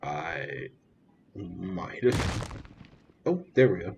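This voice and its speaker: A man giving casual, offhand gameplay commentary as a streamer in a low-key way. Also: sing-song, very slow, creaky, slightly rough. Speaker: game streamer. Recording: dull lo-fi voice track, faint music.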